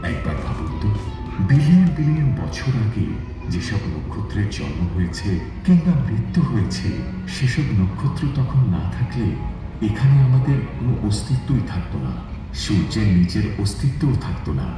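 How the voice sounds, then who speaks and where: A man giving scripted space documentary narration in a dramatic, measured storytelling manner. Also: mid-range voice, sing-song. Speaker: show narrator. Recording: very echoey theatre playback from far off. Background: music.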